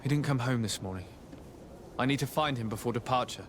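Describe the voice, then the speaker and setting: A man's voice: mid-range voice, quick pace, noisy background, close to an everyday voice. A man playing a polite, composed young nobleman in a video game, speaking formally. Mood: courteous. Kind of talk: video game dialogue acting.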